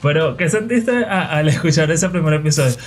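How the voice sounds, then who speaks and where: Male podcast host: slightly high voice, reflective and conversational. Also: lively intonation, a little fast, soft background music. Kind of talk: casual podcast chat.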